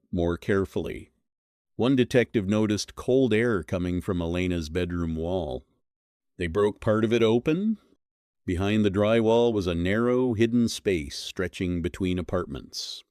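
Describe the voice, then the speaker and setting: A man reading a scripted horror mystery as narrator, in measured, suspenseful phrases that are short and dramatic. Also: sits low, darkish tone, no echo, clean studio sound.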